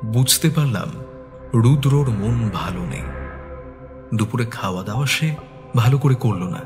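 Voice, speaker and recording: A man narrating a detective story as the sleuth's loyal, concerned companion, in a measured dramatic style. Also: mid-range voice, expressive, close to an everyday voice. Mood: subdued, reflective. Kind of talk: scripted suspense story narration. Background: background music.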